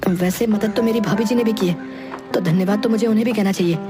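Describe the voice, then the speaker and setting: A woman's voice: mid-range voice, fast talker, natural voice. A woman voicing a simple, earnest young village lad in a cartoon moral story. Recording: loud music under the voice.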